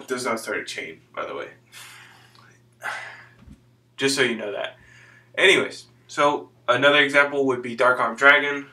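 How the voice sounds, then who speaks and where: A young man, hoarse from being sick, casually explaining game rules in a loose, rambling way. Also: mid-range voice, bright, forceful tone, recorded from a distance. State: hoarse from illness. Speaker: channel host explaining card game rules.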